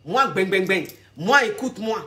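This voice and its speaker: A woman relationship coach with a cold, talking in an animated, repetitive way and calling her listener darling. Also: mid-range voice, normal speed. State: has a cold. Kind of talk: casual advice vlog talk.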